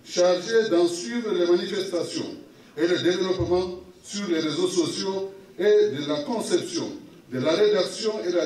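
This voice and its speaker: A man with a Cameroonian accent solemnly reading a formal public declaration in short, measured phrases, as an official would. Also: sits high, darkish tone. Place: municipal hall at a public meeting. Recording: echoey hall, distant microphone.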